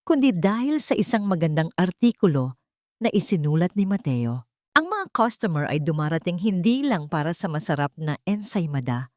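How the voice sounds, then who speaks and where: A woman reads a dramatic story as its narrator. Her voice sits fairly low and moves with lively intonation, sentimental and measured. The recording is dry and free of room sound. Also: no room sound.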